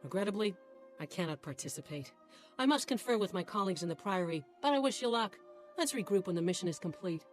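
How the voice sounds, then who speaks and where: An actress playing a formal, scholarly researcher in a fantasy video game, precise and emphatic. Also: female voice, medium pitch, expressive, fast talker, soft background music, lightly acted. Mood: regretful, formal. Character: scholarly, formal female member of a research order.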